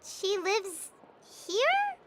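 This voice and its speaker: A woman voicing a small, childlike, chirpy and blunt fairy companion in a video game, squeaky and excitable. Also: very slow, very noisy, full character voice. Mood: excited, blunt. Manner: excitable, refers to herself in third person. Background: music.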